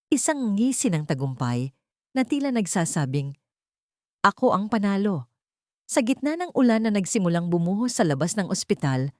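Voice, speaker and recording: A woman with a fairly low voice narrates a scripted drama. Her delivery is theatrical storytelling, recorded close and dry like a studio voice-over. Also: darkish tone, dry recording.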